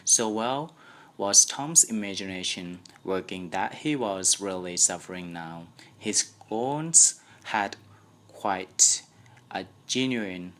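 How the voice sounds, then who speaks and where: Slow, low-voiced young Thai man reading. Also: lively intonation, a bit bright. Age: young adult. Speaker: student audiobook reader. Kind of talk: scripted story narration.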